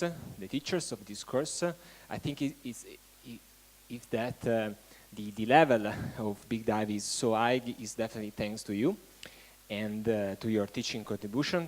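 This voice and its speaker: A man hosting an event, giving a halting welcome speech to an audience and often restarting his phrases. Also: on the low side, poor audio. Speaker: event host.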